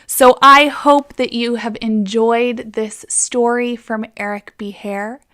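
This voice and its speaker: A woman presenting a gentle, explanatory devotional talk for children. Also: a little high, steady tone, slow. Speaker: children's story presenter at church.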